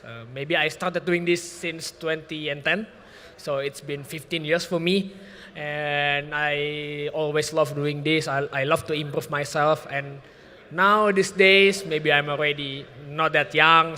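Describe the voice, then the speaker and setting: A man with a bright, fairly high voice, speaking in relaxed, slightly hesitant English. His voice carries a long hall reverb over faint background noise. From Indonesia. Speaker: sim racing competitor. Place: event stage, hall.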